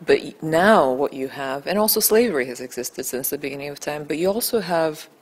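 A woman's voice: low-pitched, expressive, a little fast, rough recording. A woman in her thirties from Bulgaria, a documentary film-maker answering interview questions in an earnest, articulate way, into a handheld mic in a small indoor room. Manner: earnest, articulate, building emphasis. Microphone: handheld mic held by interviewer.

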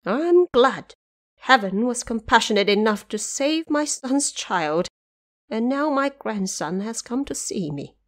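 A woman's voice: fairly high pitch, animated, measured pace. A tender old grandmother played by a woman narrator speaks with sorrow and feeling. She is a figure from an audio drama of an old tale. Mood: grieving. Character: old grieving grandmother, long blind, tender.

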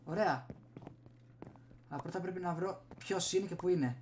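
A man's voice: sits high, darkish tone, slight background noise. A young man from Greece giving casual gameplay commentary as a video host, muttering to himself as he plays. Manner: muttering to himself while playing. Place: small room at home. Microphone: desktop USB microphone.

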